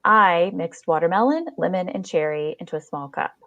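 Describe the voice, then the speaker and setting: Low-voiced woman reading a story, dull sound. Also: lively intonation, normal speed, a bit bright, dry recording. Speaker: short story reader. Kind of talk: scripted first-person story narration read aloud. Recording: dull, dry lo-fi recording.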